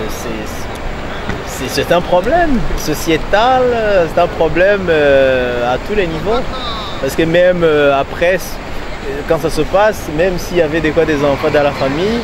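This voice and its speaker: Halting man in a noisy street interview. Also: a little high. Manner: halting, searches for words. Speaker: interviewee, passer-by. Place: train station.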